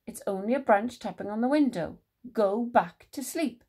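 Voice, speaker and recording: A woman's voice: on the high side, expressive, normal speed, warm tone, husky. A woman voicing a big, sleepy, grown-up male mouse in a children's story, curt and matter-of-fact. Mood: weary reassuring.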